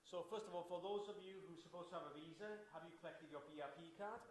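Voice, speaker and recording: A man with a loud voice giving a relaxed, chatty welcome talk to new students in a lecture room, with many fillers. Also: sits high, fast. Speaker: international student orientation organiser. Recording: echoey room, faint background noise.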